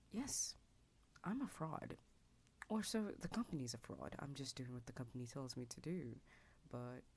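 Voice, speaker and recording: Young American woman, very low register, soft rambling ASMR whisper, close desk mic. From United States. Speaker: ASMR storyteller. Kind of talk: whispered ASMR roleplay storytelling. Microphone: desk mic lowered near a keyboard. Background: keyboard typing sounds.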